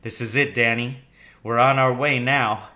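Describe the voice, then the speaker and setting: A man voicing a warm, excitable father in a storybook read-aloud, hearty and reassuring. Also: mid-range voice, measured pace.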